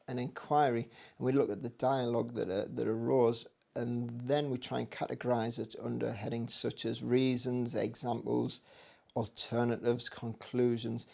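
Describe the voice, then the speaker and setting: A man giving an explanatory talk as an educator, measured and a little hesitant. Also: mid-range voice, gravelly, a little mellow.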